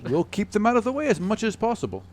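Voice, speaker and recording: A man playing a haughty, contemptuous demigod in a measured, condescending manner for a tabletop game. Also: high-pitched, very animated, voice pushed up.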